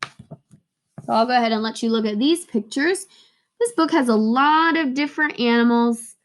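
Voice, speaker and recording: A woman's voice: fairly high pitch, normal speed. A woman teacher reading a picture book aloud to young children and explaining it in simple, emphatic, playful phrases.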